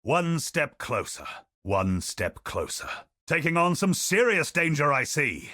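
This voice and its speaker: An actor playing a bold, honor-bound adventurer in a video game, with theatrical battle cries. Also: male voice, fairly high pitch, very animated, unhurried, pushed and bright, no room sound, clean studio sound, full character voice. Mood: measured. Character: bold male knightly treasure-hunting adventurer.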